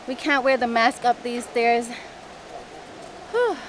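A woman's voice: a little high, rough recording. A woman in her thirties vlogging to a handheld camera on a forest stairway, out of breath from the climb and chatting between sighs. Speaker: travel vlogger. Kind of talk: casual vlog talk to camera. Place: outdoor forest stairway near a waterfall. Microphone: handheld camera at arm's length. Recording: outdoor camera audio with waterfall noise. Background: rushing waterfall noise.

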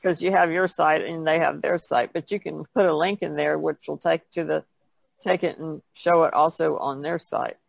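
Very creaky-voiced man chatting. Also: on the high side, steady tone, moderate pace, dry recording. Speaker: podcast panelist, artist. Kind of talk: casual chat.

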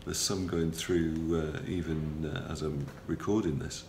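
Middle-aged man, very low voice, gravelly, stuffy from a cold, halting video diary, roomy sound. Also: fairly even intonation, darkish tone. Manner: halting, many ums and uhs, matter-of-fact. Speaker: patient video blogger. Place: small hospital room, indoors. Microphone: phone or camera close up, front-facing. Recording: roomy with faint background hum.

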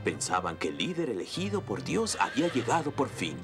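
A man's voice: on the low side, huge pitch swings, brisk, over music. A man voicing an earnest adult storyteller in a children's cartoon, narrating with dramatic expression.

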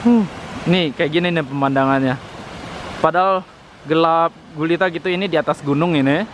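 A man's voice: on the high side, moderate pace, background noise. A young man hosting a casual travel vlog, speaking enthusiastically outdoors into a handheld camera. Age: young adult. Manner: enthusiastic, exclaiming. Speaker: vlogger, host. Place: outdoors at night in a hot spring town. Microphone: handheld camera at arm's length.

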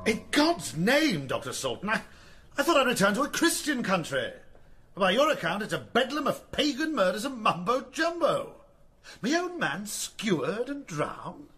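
Pompous, blustering lord, lively. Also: male voice, high voice, normal speed, breathy edge, full character voice. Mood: outraged. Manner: pompous, scornful. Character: arrogant, blustering upper-class Victorian lord and magistrate. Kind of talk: British radio drama acting.

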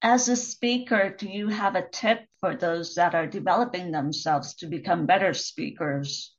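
A woman's voice: on the low side, normal speed, highs cut off. A woman hosting an interview podcast, asking brief, direct questions. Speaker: podcast host.

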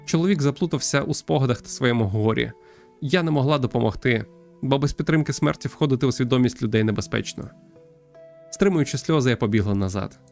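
A man's voice: medium pitch, quick pace, gravelly, a little mellow, soft background music, close to an everyday voice. A man voicing a sensitive young girl who narrates her sad journey in a visual novel. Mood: sad, tender.

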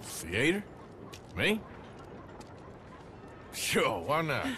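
An actor playing a gruff, wry Western outlaw in a video game, with an American accent and a dry, laconic, folksy manner. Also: male voice, fairly high pitch, wildly swooping pitch, extremely slow, sharp tone, a little hiss or hum, exaggerated voice. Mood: guarded. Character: gruff male Western outlaw, awkward, wry and self-deprecating. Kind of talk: naturalistic game dialogue.

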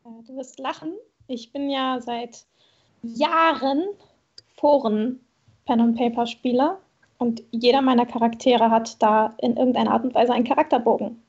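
Female stream guest, high voice with gravelly fry, casual, dulled call sound. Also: steady tone, normal speed, darkish tone. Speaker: stream participant, tabletop player. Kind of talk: casual chat. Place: indoor, on a video call. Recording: slightly dull voice-call sound.

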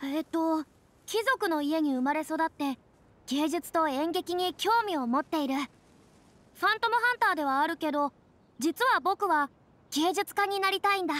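A woman's voice: very high-pitched, normal speed, fairly bright tone. A woman voicing an earnest, theatrical young noblewoman with boyish phrasing in an anime game. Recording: music behind the voice.

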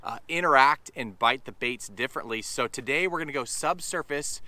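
Bright, crisp-voiced fishing vlogger man. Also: fairly high pitch, dry recording. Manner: upbeat, chatty, addresses viewers as you guys. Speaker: fishing channel host. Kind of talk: casual outdoor vlog talk to camera. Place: outdoors on a sunny lakeshore.

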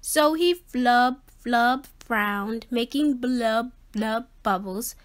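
A woman reading a children's picture book aloud, expressively and with a measured, rhyming rhythm. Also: fairly high pitch. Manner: measured, rhythmic delivery of rhyme. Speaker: children's story reader.

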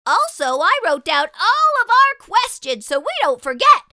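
A woman's voice: very high-pitched, big pitch swings, a little slow, pushed and bright, dry recording, studio quality, exaggerated voice. A woman voicing a small, curious, childlike spot creature who eagerly asks lots of questions in a children's storybook read-aloud.

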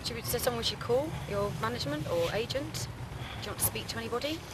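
A man, a TV producer, quietly trying to calm someone down. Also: very high voice, background noise. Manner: soft-spoken, placating, quick. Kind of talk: calming an upset person.

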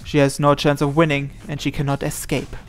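A man's voice: a little high, completely flat delivery, a little fast, soft background music. A man narrating a visual novel aloud as host, reading the scripted text.

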